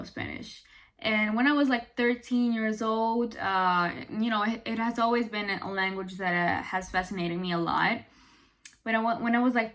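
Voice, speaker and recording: A young woman talks straight to her viewers in a bright, clear voice. She is chatty and animated and fills her sentences with "like" and "you know". Her pitch sits in the middle range for a woman. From Italy. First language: Italian. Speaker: vlogger. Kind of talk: casual talk to camera.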